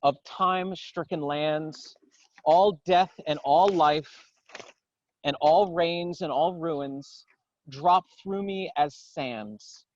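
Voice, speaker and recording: Guy reciting poetry outside, really slow and solemn, kinda dull lo-fi sound, no echo. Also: fairly high pitch. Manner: solemn, declamatory, measured phrasing. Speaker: poetry reader. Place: outdoors in a garden.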